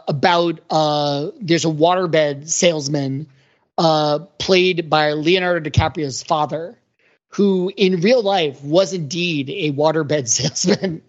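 A man talking casually as a podcast panelist about pop culture, in a wordy, rambling, analytical way. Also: a little high. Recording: dull lo-fi audio.

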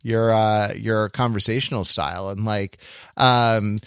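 A man co-hosting a podcast in casual conversation, haltingly thinking aloud and padding his points with hedges. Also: on the low side, steady tone, fairly bright tone, smooth, no echo, studio quality. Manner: halting, thinking aloud, hedging with "like" and "you know". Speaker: podcast co-host.

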